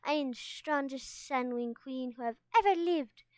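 A woman's voice: high voice, normal speed, a little mellow, clean tone, dry recording, voice pushed up, close to an everyday voice. Woman voicing a small young dragon playing pompous queen. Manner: mock-regal, dramatic, hesitant.

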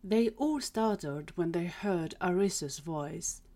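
A woman's voice: lowish voice, animated, takes it slowly, dark tone. A woman reading scripted third-person narration for an audio drama.

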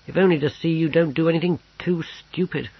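A man's voice: a little high, fairly even intonation, fairly quick, husky, no room sound, dull audio, voice pushed up. A man voicing a wry, sceptical older adviser in a story, exasperated and sighing.

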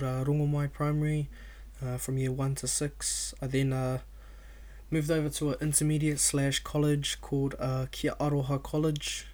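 A young man in his twenties with a New Zealand accent hosts his own podcast. He talks at an easygoing pace in a level, fairly monotone voice. He often pauses and fills the gaps with "um" and "you know". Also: medium pitch. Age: 20s. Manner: laid-back, self-described introvert, many fillers and restarts. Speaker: podcast host. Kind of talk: casual solo podcast introduction.

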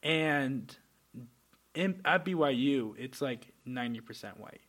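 A young man hosting a podcast in casual chat, an animated storyteller quick to laugh. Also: mid-range voice. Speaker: podcast host.

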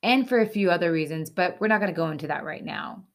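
Low-voiced woman presenting an explainer. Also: animated, fast. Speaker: presenter of a short ethics segment. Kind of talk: scripted explanatory narration with casual asides.

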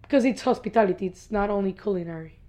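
A woman's voice: medium pitch, fast talker, dark tone. A woman from Ecuador, a restaurant part owner, giving brief, matter-of-fact answers as the guest in a casual interview. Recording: dull lo-fi audio.

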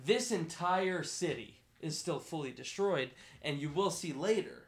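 Male podcast host, slightly high-pitched, opinionated and emphatic, swears freely in casual film chat. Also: animated, measured pace.

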